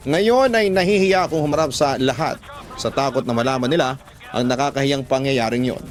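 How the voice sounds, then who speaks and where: A man's voice: medium pitch, a little fast, soft background music. A man with a Filipino accent reading a listener's love letter as a scripted first-person radio narration.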